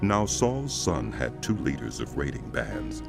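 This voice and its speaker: A man's voice: a bass voice, very animated, unhurried, gravelly, over loud music. A man narrating a dramatized scripture as scripted storytelling.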